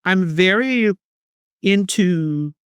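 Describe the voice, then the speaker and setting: A woman's voice: fairly low pitch, lively intonation, extremely slow, smooth, dry recording. Sassy stammering woman host. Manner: sassy, stammers and repeats words, calls people honey and girl. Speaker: podcast host. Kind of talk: casual chat.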